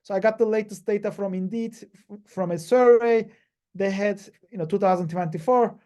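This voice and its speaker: High-voiced man from Turkey, halting talk. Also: dark tone. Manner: halting, many fillers and restarts. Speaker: PhD panelist. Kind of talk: webinar presentation. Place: video call.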